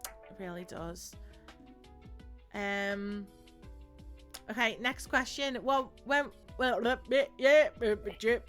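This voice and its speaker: A woman hosts a relaxed live stream, unhurried and full of "like" and "um". Her voice sits fairly high and comes across bright and forward. Soft music plays faintly behind her. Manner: chatty, laughs often, many fillers. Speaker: streamer host. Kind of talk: casual chat on a live stream.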